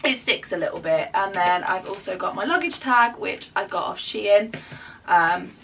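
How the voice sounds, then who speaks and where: Woman, about 30, casual vlog, croaky fading voice, gravelly, chatty with ums. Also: mid-range voice, moderate pace, a bit bright, a little hiss or hum, rough recording. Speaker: family vlogger. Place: her bedroom.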